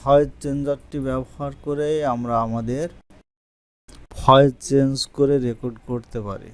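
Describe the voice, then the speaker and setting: A man presenting a software tutorial in a casual screen-recording style. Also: medium pitch, fairly even intonation, moderate pace.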